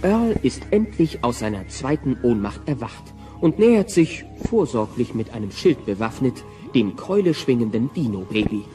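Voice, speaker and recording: A man's voice: medium pitch, sing-song, moderate pace, hardly any pauses, warm tone, recorded from a distance. A man narrating a scripted audio drama, with music faintly behind him.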